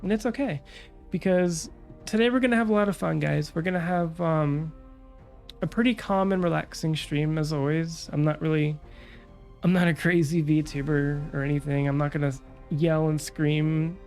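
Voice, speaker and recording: A young woman VTuber chatting calmly about her stream plans, her nose stuffed up from a cold, with lots of fillers. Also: low-pitched, moderate pace, faint music behind. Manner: calm, relaxed, rambling with many fillers. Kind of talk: casual stream chat.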